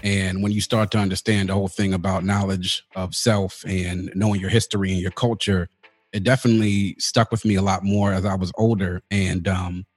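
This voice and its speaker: A man with a fairly low voice tells stories in an easy, laid-back way, dropping casual slang as he goes. The recording is dry and close, with faint music underneath. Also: dry recording. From Washington DC area, United States. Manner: relaxed storytelling, uses slang like "yo" and "big up". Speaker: podcast guest. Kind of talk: casual conversational interview.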